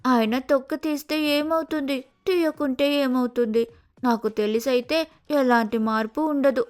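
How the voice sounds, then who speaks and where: A woman's voice: high voice, fairly even intonation, unhurried. A woman voicing a lazy, sulky young sparrow daughter-in-law in a cartoon, with whiny, careless complaining. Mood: lazy, grumbling.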